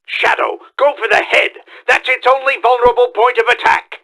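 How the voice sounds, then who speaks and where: A man voicing a pompous, boastful mad scientist villain in a video game, with a gravelly, forceful voice and theatrical, commanding delivery. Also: extremely high pitch, fairly even intonation, moderate pace, noisy background. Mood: instructing, boastful. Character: boastful, scheming middle-aged male mad scientist villain, bombastic.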